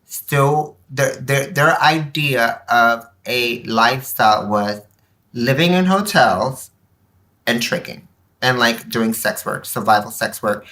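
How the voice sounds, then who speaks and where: A woman speaks candidly as an interview guest, in a very bright, forceful voice. She is animated and emphatic as she tells personal stories, slipping into quoted remarks. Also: extremely low pitch, slow. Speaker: podcast guest, nonprofit board member. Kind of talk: candid personal storytelling in conversation.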